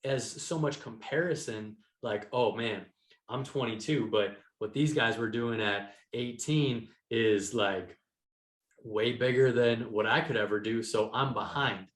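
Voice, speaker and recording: A man's voice: mid-range voice, a little slow. A man in his thirties talking casually and emphatically as a podcast guest, with informal, youthful phrasing. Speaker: podcast guest, entrepreneur and author. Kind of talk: casual interview conversation. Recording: roomy, echoing sound.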